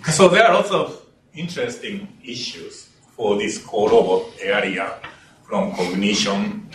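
Older male engineering lecturer, low voice, non-native English phrasing, heard far off in an echoey hall. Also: slow pace, long pauses, a bit bright. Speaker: engineering professor giving a lecture. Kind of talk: academic lecture. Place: lecture room. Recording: roomy, distant lecture hall capture with faint room noise.

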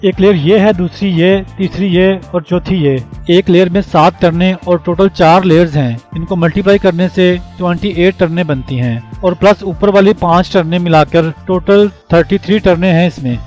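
High-pitched man narrating a how-to. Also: moderate pace, few pauses, darkish tone. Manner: plain step-by-step explaining. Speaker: repair tutorial host. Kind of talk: scripted instructional voice-over. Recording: music behind the voice. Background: background music.

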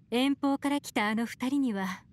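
Poised, sly secret agent. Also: female voice, high voice. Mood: sly, teasing. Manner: poised, feminine, teasing. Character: cool, sly, mysterious woman agent, teasing and self-assured. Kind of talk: anime game voice acting. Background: music.

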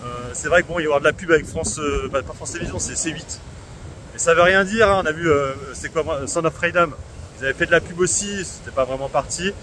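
A man's voice: on the high side, quick pace, bright, forceful tone, slight background noise, poor audio. A young man chatting casually to a camera held at arm's length on a beach, full of filler words and asides. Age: young adult. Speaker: investing channel host. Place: sunny beach by a seawall, outdoors. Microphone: phone or camera held at arm's length.

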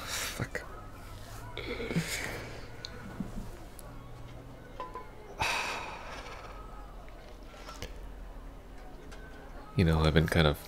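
A man playing a heartbroken, earnest ex-boyfriend in an intimate ASMR roleplay, speaking haltingly and sometimes dropping to a near whisper. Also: very deep voice. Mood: nervous, hopeful. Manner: halting, hesitant, breathy half-whispers. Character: heartbroken, earnest young ex-boyfriend pleading for closure.

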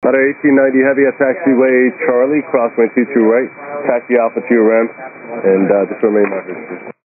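A man's voice: mid-range voice, fairly even intonation, brisk, crowd noise. A man working as a tower controller, giving polite, procedural air traffic instructions over radio. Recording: narrowband radio, faint static.

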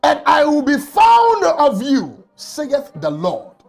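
A man preaching an emotional sermon from a small office on a lapel mic, often shouting and crying out. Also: very high-pitched, wildly swooping pitch, takes it slowly, vocal fry, soft background music. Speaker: pastor.

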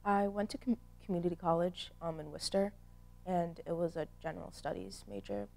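A young woman speaking softly and hesitantly in a documentary interview in a studio. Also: lowish voice, steady tone, fairly quick, creaky. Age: young adult. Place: studio with plain backdrop.

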